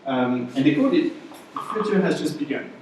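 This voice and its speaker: A man's voice: a little high, lively intonation, a little fast, darkish tone, a little hiss or hum. A man with a French accent giving a casual, chatty class presentation to a live audience, often checking in with the room. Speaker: workshop instructor. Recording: echoey room, voice from far off mic.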